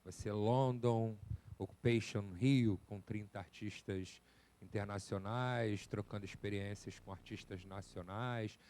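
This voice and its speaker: A man's voice: lowish voice, steady tone, unhurried, a little mellow, a little hiss or hum. A man in a lecture audience asking the speaker a direct question. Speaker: student in the audience. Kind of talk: classroom question.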